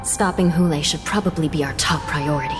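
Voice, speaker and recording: A woman's voice: low-pitched, fairly quick, close to an everyday voice. A woman playing a weary, dry, duty-bound young prison judge in a video game, serious and resigned. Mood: grave, concerned. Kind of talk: dramatic video game dialogue. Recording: loud music behind the voice.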